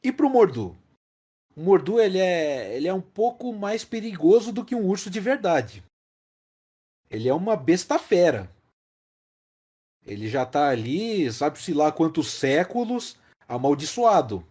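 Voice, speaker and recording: A man's voice: high-pitched, sing-song, measured pace, mellow, breathy edge. A Brazilian man co-hosting a casual film discussion, explaining things emphatically and repeating key phrases. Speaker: podcast host.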